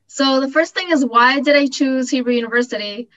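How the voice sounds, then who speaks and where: A woman's voice: high-pitched, a little fast, a bit bright, highs cut off. A woman in her twenties from Costa Rica, a graduate student giving an informal, enthusiastic talk at an information session. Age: 20s. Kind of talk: informal testimonial talk at an online info session.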